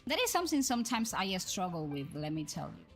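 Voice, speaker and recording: A woman's voice: mid-range voice, big pitch swings, quick pace. Chatty woman streamer over background music. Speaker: livestream host. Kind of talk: casual gaming livestream commentary, thinking aloud.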